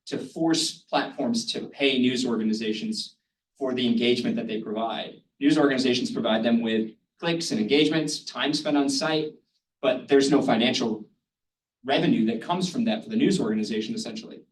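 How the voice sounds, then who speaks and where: A man's voice: medium pitch, normal speed. Man speaking on a panel, distant and echoey. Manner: loose and conversational, with many "um"s and "kind of"s. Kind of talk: panel discussion talk. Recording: roomy hall, distant mic, faint noise.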